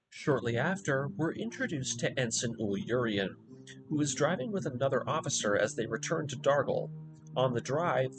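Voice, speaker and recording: A man's voice: medium pitch, poor audio. Man narrating dramatically over prominent music. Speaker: narrator of a video essay. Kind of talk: scripted story recap narration. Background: background music.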